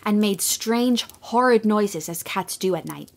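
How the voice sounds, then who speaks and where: A woman narrating a storybook audio drama in a gentle, measured way. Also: medium pitch, vocal fry.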